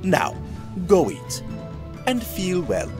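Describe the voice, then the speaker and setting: A woman voicing a proud but vulnerable male master cook in a visual novel, with a theatrical, halting delivery, over music. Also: fairly low pitch, wildly swooping pitch, voice pushed down, exaggerated voice. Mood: proud, grandiose. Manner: slow, halting, grandiose delivery. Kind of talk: visual novel character dialogue. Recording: music behind the voice.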